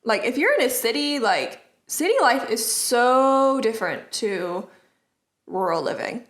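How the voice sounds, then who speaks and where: A woman in her twenties co-hosting a podcast, gently reassuring and leaning on casual fillers. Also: fairly high pitch, lively intonation, measured pace. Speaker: podcast co-host. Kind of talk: casual advice chat.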